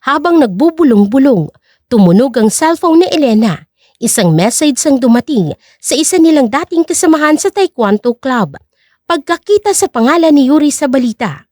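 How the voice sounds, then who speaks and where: Woman for dramatic story narration; high, animated, recorded close and dry. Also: moderate pace, dry recording.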